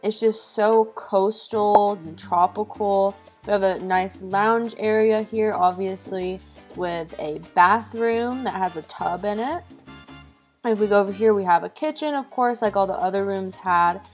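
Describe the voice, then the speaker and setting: A woman's voice: medium pitch, a little slow, faint music behind. Bubbly giggly woman gushing over a game build. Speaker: co-host touring a game build. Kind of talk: casual game tour chat.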